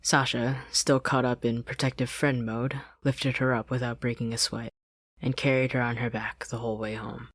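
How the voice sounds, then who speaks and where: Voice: medium pitch, flat delivery, normal speed, fairly bright tone, dry recording. A narrator reading fan fiction as scripted story narration, close to the microphone. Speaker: podfic narrator. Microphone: close studio-style desk microphone, dry.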